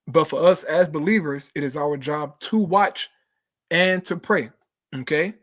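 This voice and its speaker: A man's voice: fairly high pitch, unhurried, a bit bright. A man hosting and giving casual preaching commentary, emphatic, calling listeners bro and brothers and sisters and checking in with right and okay. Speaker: host.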